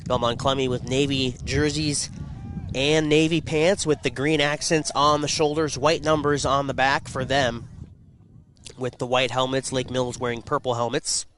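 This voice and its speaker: A man's voice: medium pitch, normal speed, slight background noise. Congested radio football announcer, man. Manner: rising excitement on plays. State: congested from a cold. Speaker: play-by-play announcer. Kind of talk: radio sports commentary.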